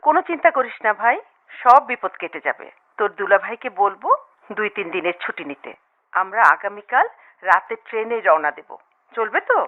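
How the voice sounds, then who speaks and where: A woman playing a supportive, comforting older sister in a radio drama, animated and heard through a telephone filter. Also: mid-range voice, moderate pace. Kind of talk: Bengali radio drama acting. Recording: muffled telephone-line sound.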